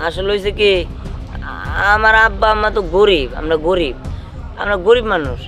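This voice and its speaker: A man's voice: sits high, animated, normal speed, sharp tone, faint music behind, heavily acted. A man voicing a stubborn, whiny boy from a poor family in a cartoon comedy, with a Bangladeshi accent, pleading and demanding. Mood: defensive, pleading. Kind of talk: cartoon comedy drama dialogue.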